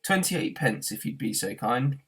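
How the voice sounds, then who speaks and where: A man's voice: mid-range voice, expressive, moderate pace, a bit bright, breathy edge. A man voicing a polite, bewildered barman in a storybook, deferential and questioning. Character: polite, bewildered male barman, uneasy and awed. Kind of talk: storybook character voice.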